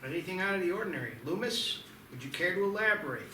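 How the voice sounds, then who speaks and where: A man reading the part of a gruff, wisecracking but devoted sheriff and husband in an amateur screenplay table read, plain-spoken and wry. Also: sits high, expressive, quick pace, pushed and bright. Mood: briskly official. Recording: echoey, noisy, distant microphone.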